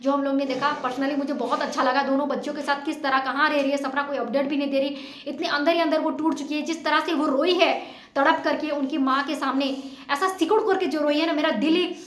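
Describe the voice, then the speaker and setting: High-pitched, emphatic woman, echoey room. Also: fairly even intonation, a little fast, a bit bright. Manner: emphatic, indignant, rhetorical questioning. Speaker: vlogger commenting on a family dispute. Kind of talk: informal opinion commentary to viewers. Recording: echoey untreated room sound.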